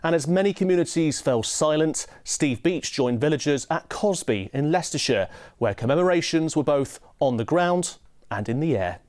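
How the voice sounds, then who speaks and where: A man's voice: on the high side, expressive, normal speed, darkish tone, dry recording, studio quality. British male news reporter, emphatic delivery. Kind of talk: scripted news reporting and live two-ways.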